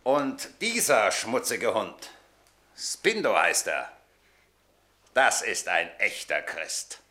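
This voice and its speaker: An actor playing a gruff, scornful Roman centurion, blunt and sardonic, in a German radio drama. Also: male voice, on the low side, huge pitch swings, slow pace, bright, forceful tone, full character voice. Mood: scornful, barking.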